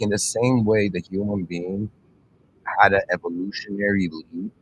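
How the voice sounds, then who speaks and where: A man in his thirties with a deep, low-pitched voice muses aloud in a searching, earnest way. He often restarts his sentences and clears his throat, and keeps checking that his listeners follow. A faint vehicle rumble hums behind him. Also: rough recording. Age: 30s. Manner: rambling, searching, many fillers and restarts; earnest, addresses listeners directly. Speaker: channel host. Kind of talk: reflective philosophical monologue.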